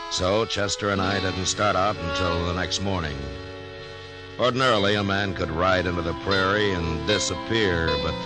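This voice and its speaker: Brief: male actor as a laconic old-west marshal, very low voice, radio-play narrator over orchestral scoring. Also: close to an everyday voice. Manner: laconic, drawling, understated. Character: steady frontier marshal, grown man, calm and plainspoken. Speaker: narrator and lead character. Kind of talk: 1950s radio drama acting.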